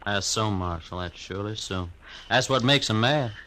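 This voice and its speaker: Brief: a chatty frontier buffalo hunter, bright twangy voice, folksy western radio play. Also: male voice, on the low side, normal speed, slight background noise. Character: folksy buffalo hunter, grown man, hospitable and talkative. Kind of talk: 1950s radio drama acting.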